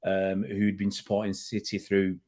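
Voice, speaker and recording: A man's voice: low-pitched, completely flat delivery, normal speed, darkish tone. A middle-aged man from England hosting a casual football chat, chatty and prone to repeating words as he goes. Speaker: fan channel host.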